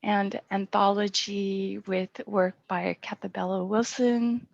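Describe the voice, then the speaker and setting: A woman's voice: mid-range voice, fairly even intonation, takes it slowly, vocal fry. A middle-aged woman, a poet and publisher, reading and speaking at an online poetry event. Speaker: poet and small-press publisher. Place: indoors on a video call. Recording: dull, muffled online call audio.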